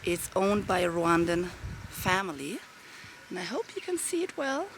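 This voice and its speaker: A woman with a rough voice, giving upbeat step-by-step business advice to camera from a garden outdoors. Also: lowish voice, big pitch swings, normal speed, slight background noise. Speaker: business coach. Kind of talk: casual talk to camera. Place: garden of a private villa, outdoors.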